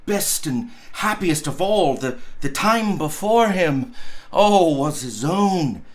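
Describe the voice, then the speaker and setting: A man, a pastor, reading a storybook aloud in an expressive, theatrical and emphatic way. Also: on the high side, takes it slowly.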